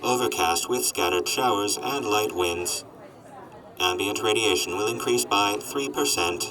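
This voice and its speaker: An actor voicing a gloomy, miserable male robot in a radio drama, speaking in a flat machine monotone. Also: on the low side, unhurried, recorded from a distance, lightly acted, low-quality recording.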